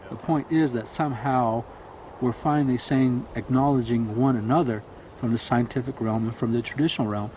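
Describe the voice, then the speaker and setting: Interviewee: man, mid-pitched, earnest, outdoor ambience and insects behind. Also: steady tone, moderate pace, few pauses, a little mellow, a little hiss or hum, low-quality recording. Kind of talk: conversational interview.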